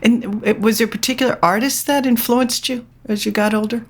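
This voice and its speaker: A woman hosting an art podcast, reading a formal introduction and asking interview questions in a measured way from her apartment. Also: a little high, fairly even intonation. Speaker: podcast host. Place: Manhattan apartment.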